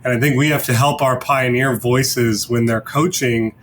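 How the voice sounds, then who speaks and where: A man's voice: medium pitch, fairly even intonation, normal speed, a bit bright, slight background noise. A man hosting a discussion podcast in a conversational style. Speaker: podcast host.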